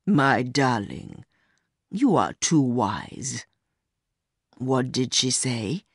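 A woman voicing an older rich man, a smooth, condescending and fatherly secret lover in an audiobook story. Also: a bass voice, very animated, extremely slow, no echo, clean studio sound, pitched below the performer's own voice, full character voice. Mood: smooth, probing. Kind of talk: acted dialogue in audiobook story.

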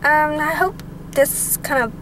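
A woman's voice: high voice, slow pace, a little hiss or hum, rough recording. A young woman from Utah chatting casually on a vlog, full of "like" and "um", filmed on a handheld camera in her car and then in her bedroom. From Utah, USA. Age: young adult. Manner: chatty, lots of "like" and "um", excitable. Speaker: vlogger. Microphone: handheld camera at arm's length.

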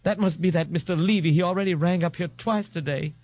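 A man's voice: sits high, fast, darkish tone, highs cut off, pitched above the performer's own voice, close to an everyday voice. A man voicing an anxious working-class mother of a grown son, plaintive, folksy and scolding, in an audiobook. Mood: tearful scolding. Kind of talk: audiobook character voice.

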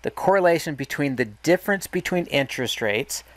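A man's voice: on the high side, expressive, fairly quick, no echo. A man walking through a market analysis lesson over a screen recording, explaining step by step with restarts and self-corrections. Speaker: market educator.

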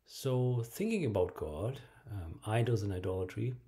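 A middle-aged man preaching a home video sermon and reading scripture aloud, in a measured way with frequent ums, in a bright room at home. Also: lowish voice, expressive.

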